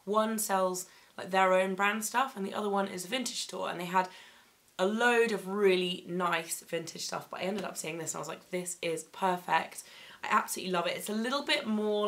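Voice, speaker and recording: A young woman recovering from laryngitis, her voice still slightly croaky, chatting enthusiastically through a clothing haul in her bedroom, with plenty of ums and likes. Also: medium pitch, fairly bright tone, a little hiss or hum. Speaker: fashion vlogger. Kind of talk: casual haul vlog. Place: bedroom, indoors.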